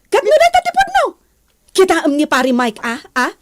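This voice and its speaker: A fed-up wife in a radio drama. Her voice is high, and she scolds insistently with a sharp tongue. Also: wildly swooping pitch, brisk, exaggerated voice. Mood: angry. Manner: nagging, insistent.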